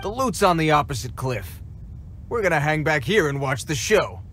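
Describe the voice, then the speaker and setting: An actor playing a gruff, cocky bandit leader in a video game cutscene, tough-talking and sneering with slangy speech. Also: male voice, on the high side, sing-song, moderate pace, fairly bright tone, a little hiss or hum. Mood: taunting.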